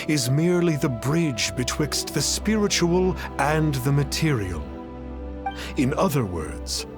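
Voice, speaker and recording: A grandiose self-styled sage talks in slow, archaic phrasing and calls himself "one". His voice rises and falls with lively swings at a mid pitch. Game music plays clearly behind him. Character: pompous self-styled adept who speaks archaically. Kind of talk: video game cutscene dialogue.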